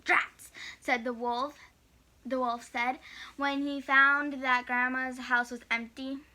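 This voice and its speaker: A woman narrates a picture-book story for children. Her voice is high and bright, and she tells it expressively. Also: flat delivery, takes it slowly, rough recording. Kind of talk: children's read-aloud narration.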